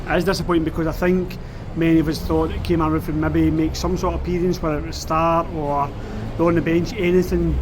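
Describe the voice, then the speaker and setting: A Scottish man with a slightly high voice talks football outdoors, animated and full of rhetorical questions. Street and traffic noise is clearly heard behind him. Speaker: podcast host. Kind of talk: casual football chat. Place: outdoors on a street after a match.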